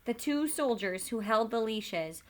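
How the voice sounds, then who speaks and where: A woman's voice: fairly high pitch, lively intonation, unhurried. A woman speaking as a teacher, talking informally to her pupils and reading a storybook aloud.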